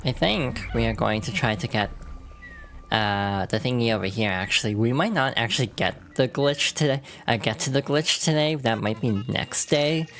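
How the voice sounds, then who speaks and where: A VTuber streamer with a hoarse, froggy voice from allergies, chatting casually while playing a game and laughing at their own slips. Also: mid-range voice, moderate pace, a bit bright, soft background music. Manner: chatty and self-deprecating, many fillers, laughs through words.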